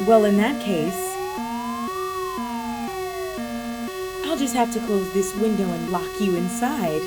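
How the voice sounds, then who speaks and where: A woman's voice: mid-range voice, loud music behind the voice, close to an everyday voice. A woman playing a flirty, cunning cat burglar villain in a roleplay audio drama, speaking coyly and teasingly to the listener. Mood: cheeky, bargaining. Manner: coy, teasing, drawn-out phrasing with terms of endearment.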